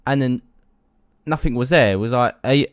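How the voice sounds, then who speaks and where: A man's voice: mid-range voice, normal speed, dry recording, clean studio sound. Sweary young British man telling stories. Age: young adult. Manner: sweary, slangy, matey. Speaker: YouTube storyteller. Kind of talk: casual comic anecdote telling.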